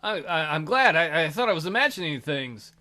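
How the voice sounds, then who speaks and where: Man acting a hesitant, high-voiced lonely young man. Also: big pitch swings, brisk, sharp tone, heavily acted. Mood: hesitant relief. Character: hesitant, lonely man waking in a dark void.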